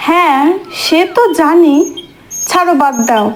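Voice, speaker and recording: A woman's voice: sits high, a little slow, poor audio. A woman doing a bossy village wife. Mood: insistent. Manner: insistent, scolding, wheedling.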